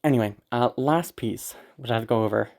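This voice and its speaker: An audio creator chats informally to listeners in a mid-pitched voice. The delivery is rambling and hesitant, anxious and apologetic, with casual swearing. The throat sounds tired and sore from being sick. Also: fairly quick, creaky, darkish tone.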